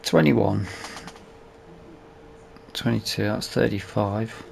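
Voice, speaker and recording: A British man with a lowish, creaky voice talks casually through a video game. He muses to himself as if nobody needs to answer. Vehicle noise runs clearly behind his commentary. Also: takes it slowly. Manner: musing aloud to himself. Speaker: gaming YouTuber. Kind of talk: casual let's play commentary.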